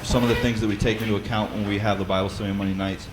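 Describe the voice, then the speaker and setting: A man with a loud voice giving a practical talk at a church seminar. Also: on the low side, little pitch movement, rapid-fire, heavy background noise. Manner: plain and practical, some restarts. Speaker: church teacher.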